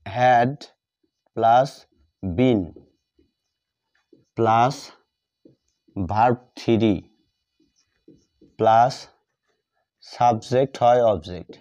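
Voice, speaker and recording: A man with a Bengali accent teaching a grammar lesson step by step, dictating in short, halting pieces and repeating himself. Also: mid-range voice, darkish tone. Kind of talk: step-by-step lesson, explaining while writing. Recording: dull, muffled lo-fi audio.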